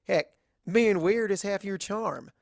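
A frank, coaxing mare pony from a storybook, as put on by a narrator. The voice is folksy and pushy with a gravelly edge, affectionate underneath, and recorded very dry. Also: mid-range voice, takes it slowly, dry recording, pitched above the performer's own voice. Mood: frank, encouraging.

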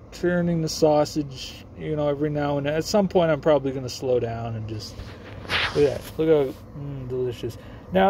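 A man's voice: fairly high pitch, dark tone, a little hiss or hum. Rambling man cooking in his car. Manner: rambling, self-mocking, offhand. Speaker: vlogger cooking on camera. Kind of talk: casual joking how-to vlog. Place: inside a parked car, door open. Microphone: phone held or propped nearby. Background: sizzling camp stove.

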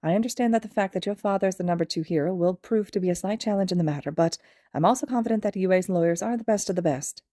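A woman's voice: on the low side, quick pace, mellow, no echo, clean studio sound, lightly acted. A woman voicing a clever, courteous small animal school principal in a fiction reading, speaking formally and reassuringly.

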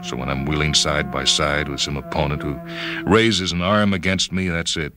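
Very deep-voiced brooding man narrating. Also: steady tone, moderate pace, sharp tone. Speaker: story reader. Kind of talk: dramatic first-person scripted narration. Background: background music.

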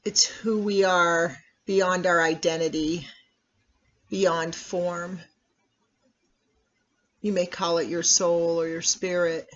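Meditation guide, medium pitch, very unhurried, measured instructional delivery with long pauses, muffled lo-fi sound. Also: a bit bright. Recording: dull, lo-fi recording.

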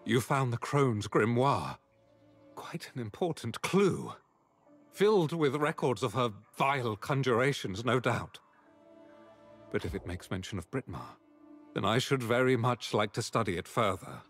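A man's voice: medium pitch, very animated, a little slow, fairly bright tone, husky, faint music behind, full character voice. An actor playing a solemn, scholarly male knight in a fantasy game, speaking formally with archaic phrasing. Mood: grave, earnest. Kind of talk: scripted fantasy game dialogue.

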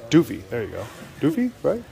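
A man's voice: on the high side, huge pitch swings, brisk, a crowd in the background. A man casually chatting as a folksy vlogger while browsing an auction hall. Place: large indoor auction hall. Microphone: handheld camera or phone. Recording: noisy hall, handheld camera audio. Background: clear hall noise.

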